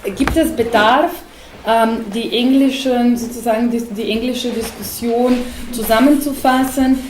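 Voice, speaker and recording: A woman opening a panel as moderator, halting with many fillers. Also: on the high side, steady tone. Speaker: event moderator. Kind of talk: formal welcome at a panel. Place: gallery room. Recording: roomy gallery with faint noise.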